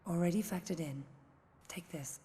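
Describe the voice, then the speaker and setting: A woman acting as a discreet intelligence handler in a game. Her voice is low and mellow, cool and businesslike. It rings with long reverb. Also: moderate pace, natural voice. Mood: cool, confiding. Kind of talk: video game cutscene acting. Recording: long reverb, faint noise.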